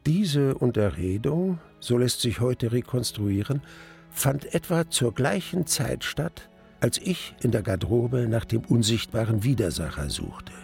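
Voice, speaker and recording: A wistful young gentleman narrating a radio play. His voice is gravelly at the edges, measured and reflective, with music underneath. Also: mid-range voice, very animated.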